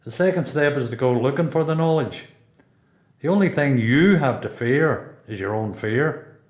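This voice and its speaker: A man playing a thoughtful prisoner in a radio play, delivering a measured, philosophical monologue about himself. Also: medium pitch, lively intonation, echoey room, close to an everyday voice. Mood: reflective. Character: thoughtful male prisoner musing on learning.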